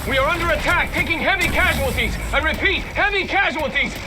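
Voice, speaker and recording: An actor playing a desperate soldier in a video game, shouting in panic over a radio transmission. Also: male voice, extremely high pitch, fairly quick. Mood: panicked. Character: desperate male soldier calling for help under attack. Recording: very noisy battlefield radio transmission.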